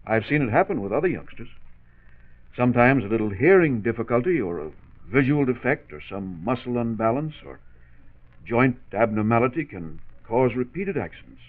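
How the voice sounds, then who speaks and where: An actor playing a calm, reassuring small-town doctor, explaining carefully in a 1940s radio drama. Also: male voice, medium pitch, normal speed, close to an everyday voice. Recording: tinny narrow 1940s radio recording.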